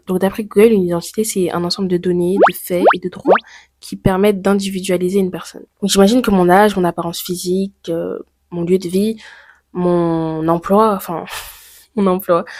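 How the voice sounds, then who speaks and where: A teenage girl from France talks straight to her camera in a personal vlog. She thinks aloud, with many fillers and rhetorical questions, and her voice sits at an ordinary pitch. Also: steady tone, moderate pace, a little mellow. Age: teens. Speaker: YouTuber.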